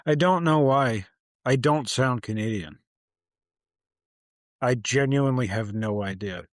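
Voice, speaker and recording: A man's voice: mid-range voice, unhurried, dry recording. A man co-hosting a podcast, talking casually in a blunt, dry, sarcastic way. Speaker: podcast co-host. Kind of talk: casual chat.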